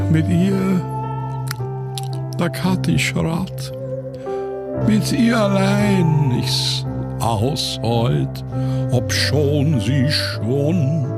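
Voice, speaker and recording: A man's voice: a little high, creaky, heavily acted. A man playing a drowsy, self-pitying old emperor who sings in his sleep with a Viennese accent in a satirical radio play, over music. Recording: loud music under the voice.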